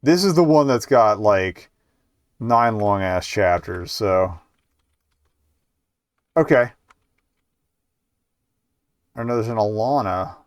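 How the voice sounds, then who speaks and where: A man's voice: on the low side, lively intonation, slow pace, long pauses, clear, resonant tone, dry recording. A man giving casual live game commentary as a streamer, rambling and hesitant, with muttered asides.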